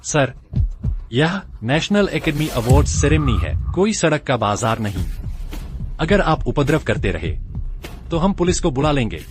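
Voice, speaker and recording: An actor playing a formal academy official hosting an award ceremony in a dubbed drama. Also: male voice, fairly high pitch, big pitch swings, measured pace. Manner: formal, announcing. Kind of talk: Hindi dub of a short drama. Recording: faint music behind.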